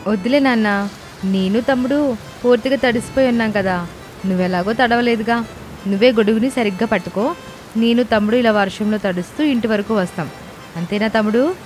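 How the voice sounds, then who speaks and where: A woman voicing a sweet, obedient young village daughter with a soft-hearted, pleading manner. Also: a little high, a little fast, lightly acted. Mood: cheerful. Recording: music and rain sound effects behind.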